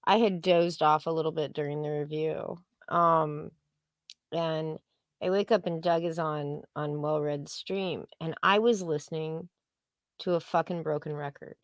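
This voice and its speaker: Slow-talking, low-voiced woman, blunt and casual. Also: fairly even intonation, dry recording, clean studio sound. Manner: blunt, profane. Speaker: co-host of a livestream talk show. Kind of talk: casual opinionated livestream chat.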